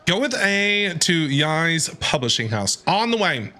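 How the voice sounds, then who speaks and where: Casting: casual game streamer, forceful bright tone, lively swings in pitch, playful off-the-cuff reactions. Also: a little slow, faint music behind. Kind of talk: casual live gameplay commentary.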